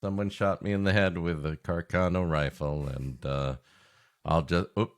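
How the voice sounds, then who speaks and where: A man's voice: low-pitched, fairly even intonation, moderate pace. A man co-hosting a comedy podcast, improvising dry, rambling mock-earnest riffs. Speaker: podcast co-host. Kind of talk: improvised comic banter.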